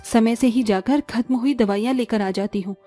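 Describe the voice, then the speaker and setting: A woman's voice: on the high side, normal speed, warm tone, faint music behind, close to an everyday voice. A woman voicing a caring, principled young village animal doctor in a cartoon, earnest and firm. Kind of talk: cartoon story voice acting.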